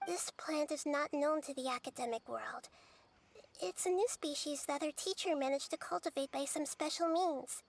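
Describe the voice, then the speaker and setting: Very high, childlike little girl. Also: normal speed. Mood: thoughtful, troubled. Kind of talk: English video game voice acting. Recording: music clearly behind the voice. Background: background music.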